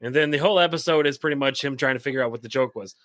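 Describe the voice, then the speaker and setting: A man's voice: mid-range voice, racing, fairly bright tone, no room sound, clean studio sound. A man hosting a podcast, asking curious, chatty questions and laughing easily. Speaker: podcast host. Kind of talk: casual interview.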